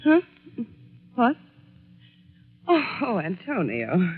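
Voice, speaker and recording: An actress playing an evasive, genteel wife who feigns innocence in a 1940s radio mystery, speaking airily. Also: female voice, medium pitch, huge pitch swings, very slow, breathy edge, exaggerated voice. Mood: drowsy, offhand. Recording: tinny, narrow vintage radio recording.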